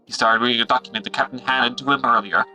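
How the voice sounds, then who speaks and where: Man as a bright, theatrical serial announcer. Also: mid-range voice, fairly even intonation, fast talker, soft background music. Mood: storytelling. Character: dramatic male serial announcer and narrator. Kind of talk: scripted narration of adventure serial.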